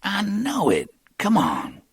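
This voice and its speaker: A high, lively voice plays a streetwise young hustler, quick and clipped in his street talk, boastful and swinging all over in pitch. The reading is close and dry. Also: vocal fry, slightly rough, dry recording, full character voice. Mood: animated. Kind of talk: audiobook character reading.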